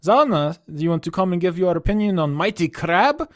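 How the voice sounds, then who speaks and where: A goofy, overconfident transfer student, as a man puts him on, in a thick Ukrainian accent. He gushes in broken grammar, and the voice sits high and loud with a dry sound. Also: lively intonation, a little fast, dry recording. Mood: rhapsodic. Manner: earnest, rhapsodic, broken grammar.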